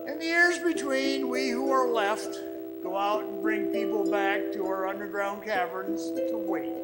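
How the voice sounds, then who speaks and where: Sinister male cultist narrator played by an actor, high voice, menacing confidences to the listener, radio play over loud music. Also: rough recording. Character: sinister storytelling man, eerie devotee of an underground fire cult. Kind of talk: radio drama monologue. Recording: loud music bed under the voice. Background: loud dramatic music.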